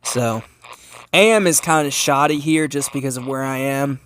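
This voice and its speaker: A man's voice: medium pitch, normal speed, fairly bright tone, a little hiss or hum. A man giving a casual hands-on gadget review as a channel host, informal and repetitive as he thinks aloud.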